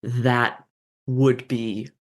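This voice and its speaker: An author being interviewed, talking casually and reflectively about craft in a halting, thoughtful way with some slang and swearing. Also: medium pitch, little pitch movement.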